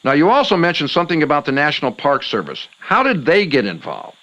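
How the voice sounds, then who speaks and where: An actor playing a folksy, theatrical late-night paranormal call-in radio host who talks in breezy slang. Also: male voice, a little high, wildly swooping pitch, quick pace, fairly bright tone, muffled recording, heavily acted. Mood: showy. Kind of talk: acted radio talk show.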